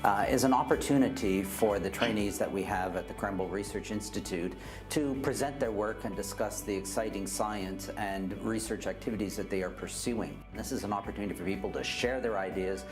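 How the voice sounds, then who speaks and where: A man with a very low voice speaks calmly and explains things step by step. It is a recorded video clip, and plucked guitar music plays clearly underneath his words. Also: fairly quick. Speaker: institute director.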